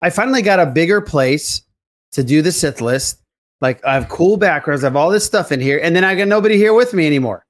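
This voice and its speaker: Animated male podcast host. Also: a little high, brisk. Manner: animated, chummy, addresses co-hosts as buddy. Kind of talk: casual podcast banter.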